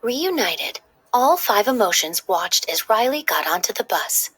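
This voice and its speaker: A woman narrating a children's story in an expressive, dramatic read-aloud style. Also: medium pitch, a little slow, husky.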